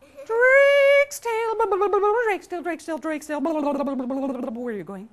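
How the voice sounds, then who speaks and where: A woman's voice: extremely high pitch, fast talker, voice pushed up. A woman voicing an eager female river in a storybook tale, babbling nonsense syllables between her pleading words. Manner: babbles repeated blah sounds between words.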